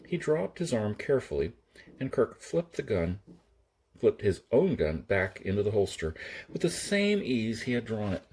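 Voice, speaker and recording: A man's voice: lowish voice, sing-song, normal speed, a little mellow, husky. A man reading a story aloud as an audiobook narrator, in a dramatic storytelling style.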